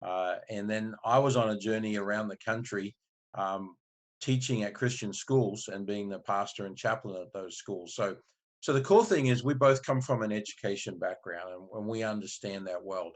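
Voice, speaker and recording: Low-voiced man from Australia. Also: normal speed. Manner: relaxed, rambling, with many restarts. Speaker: marketing agency owner, guest. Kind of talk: casual interview storytelling.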